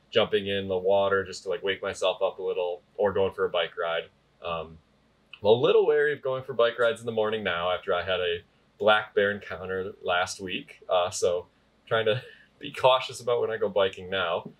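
A man in his twenties from Michigan talking casually about his days at a cabin, relaxed and quick to laugh. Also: deep voice. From Grand Rapids, Michigan, US. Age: 20s. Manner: relaxed, chatty, laughs easily. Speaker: grad student, vlogger. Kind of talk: casual sit-down interview. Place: wood-paneled cabin living room.

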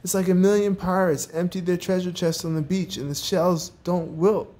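A reader voicing a greedy, excitable male packrat from a children's storybook, theatrical and exclamatory, whiny when upset. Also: mid-range voice, unhurried, pitched above the performer's own voice, natural voice. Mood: excited, restless.

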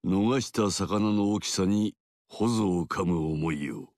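An actor voicing a proud, commanding warlord in a video game cutscene, speaking in a measured, authoritative, formal way. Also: male voice, low-pitched, a little mellow, dry recording, clean studio sound. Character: commanding male warlord, middle-aged, proud. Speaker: game character.

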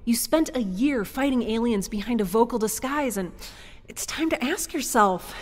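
A woman as a lively, steadying, determined friend. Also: on the high side, moderate pace. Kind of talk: audio drama acting. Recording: long reverb.

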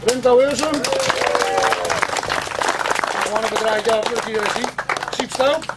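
A man's voice: very high voice, measured pace, heavy background noise. A middle-aged man giving an informal thank-you speech to a group as event host, projecting his voice off the cuff. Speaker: event host handing out prizes. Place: open-sided party tent outdoors. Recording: loud crowd and music behind. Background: crowd of children, music.

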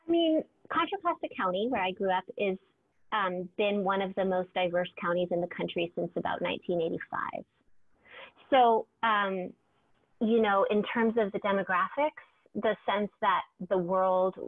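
A woman in her forties from California, a poet talking animatedly from a room with bookshelves over a video call. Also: mid-range voice. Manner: animated, gestures with hands, reflective. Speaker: poet, guest. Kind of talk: casual conversation. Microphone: clip-on mic over video call. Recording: thin phone-like video call audio.

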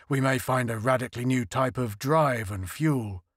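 A man voicing a calm, determined male space officer in a story reading. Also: medium pitch, moderate pace, no room sound, clean studio sound, lightly acted. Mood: steady.

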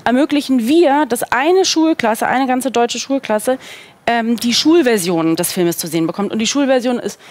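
A woman's voice: on the high side, expressive, normal speed, darkish tone. A woman in her thirties speaking as a talk show guest, informal and casual, dropping in English phrases. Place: indoor talk studio with seated group. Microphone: headset.

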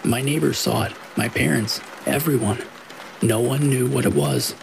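Male narrator for creepy tales, mid-pitched with a gravelly fry, rain clearly audible behind. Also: moderate pace, low-quality recording. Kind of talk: scripted narration of scary stories. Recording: steady rain noise behind the voice. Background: rain falling.

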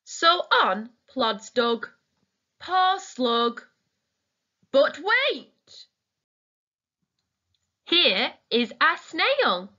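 A woman reading a children's picture book aloud expressively and deliberately, as if addressing young listeners. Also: sits high, very animated, sharp tone, lo-fi sound. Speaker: storytime reader, teacher.

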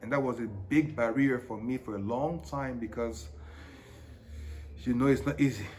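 A man's voice: medium pitch, moderate pace. African guy w french-african accent, 40s, earnest interview answers, bit of room hum. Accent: French-speaking African. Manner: earnest, with animated hand gestures. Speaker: interviewee, teacher. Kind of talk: reflective documentary interview. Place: small bright indoor room, seated at a table.

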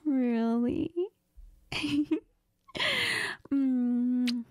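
A woman's voice: on the high side, fairly even intonation, very slow, warm tone, no echo, studio quality. A woman playing a needy, cuddly girlfriend in an intimate audio role-play, whispery and tender, with hesitant murmurs. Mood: affectionate, playful. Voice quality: breathy, whispery. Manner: hesitant, giggly, tender, murmuring with Spanish endearments. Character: needy, cuddly, affectionate girlfriend who missed her partner.

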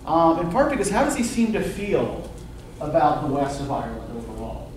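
A man's voice: a little high, very animated, moderate pace, darkish tone. Casting: man, middle-aged college lecturer, questioning delivery, distant mic in a very reverberant classroom. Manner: questioning, often checks with "right?". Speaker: university literature lecturer. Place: classroom, indoors. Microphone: distant camera microphone, speaking through face mask. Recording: roomy classroom from far off, faint noise.

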